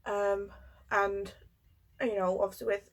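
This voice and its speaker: A woman in her twenties from Yorkshire with a cold, telling a personal story in a hesitant way with many pauses and ums. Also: mid-range voice, level tone. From Yorkshire, England. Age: 20s. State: has a cold, coughing. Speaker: vlogger.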